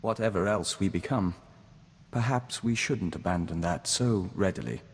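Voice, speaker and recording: A serene game diplomat bonded to an insect hive, his pitch deep. He speaks formally and carefully, as a collective "we." The recording has long reverb and some background noise. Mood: earnest, thoughtful. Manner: formal, measured, speaks of himself as we. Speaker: game companion character. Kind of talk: scripted game dialogue acting.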